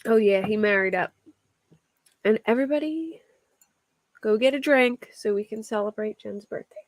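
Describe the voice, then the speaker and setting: A woman's voice: on the high side, moderate pace, no room sound. Chatty woman with a Philly accent. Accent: Philadelphia. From Philadelphia area. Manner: chatty, reads a story aloud while answering chat, laughs often. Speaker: true crime livestream host. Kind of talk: casual livestream chat reading news and chat.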